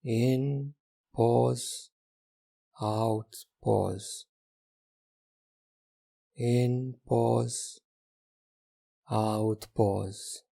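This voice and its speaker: A man guides a meditation with gentle, unhurried instructions. His voice is husky and dark, with a gravelly creak at the edges. He leaves long pauses between short phrases. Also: mid-range voice, fairly even intonation.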